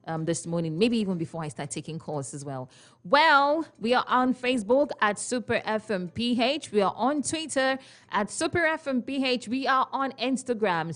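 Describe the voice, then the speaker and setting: A young Nigerian woman hosting a radio talk show, playful and chatty, with frequent laughs and questions for her listeners in a studio. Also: medium pitch. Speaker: radio host. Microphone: studio microphone.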